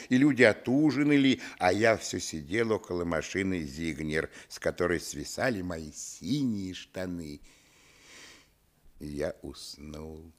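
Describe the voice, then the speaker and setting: A man's voice: medium pitch, natural voice. An actor playing a grown man fondly recalling his village boyhood, narrating a literary radio play expressively. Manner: expressive storytelling.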